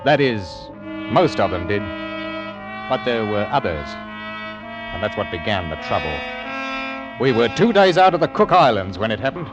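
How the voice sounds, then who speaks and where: Stern captain, muffled old radio. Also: male voice, lowish voice, big pitch swings, unhurried, pauses often, over loud music. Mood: grave recounting. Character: stern, principled ship's captain, uneasy and humane. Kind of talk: old-time radio drama narration and dialogue. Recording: dull lo-fi old radio recording.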